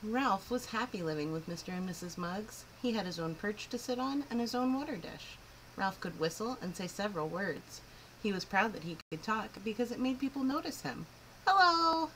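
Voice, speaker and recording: A woman's voice: medium pitch, a little fast. Woman reading a children's story, noisy background. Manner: expressive, clearly phrased, with emphatic swoops. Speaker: storyteller reading a picture book aloud to children. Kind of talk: animated children's story narration.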